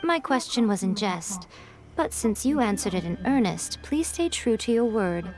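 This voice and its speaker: A woman's voice: medium pitch, animated, normal speed, natural voice. A woman playing a sly, poised, teasing shrine priestess in a video game, with coy and eloquent phrasing. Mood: playful. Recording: music playing behind.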